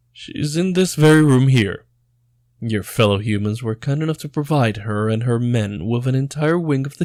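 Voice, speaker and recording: A deferential serpent servant, played by a man, in a fantasy audio roleplay. The voice is fairly low and recorded dry and close. The delivery is polite, formal and humble, with a slightly nervous edge. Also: darkish tone, no echo. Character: deferential, chatty lamia servant of an empress.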